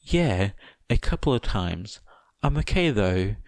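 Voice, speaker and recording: A man's voice: fairly low pitch, very animated, slow pace, a little mellow, no echo, full character voice. A man voicing a nervous, polite teenage boy who talks carefully and sweetly in an audiobook story. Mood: placating. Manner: hesitant, placating, polite.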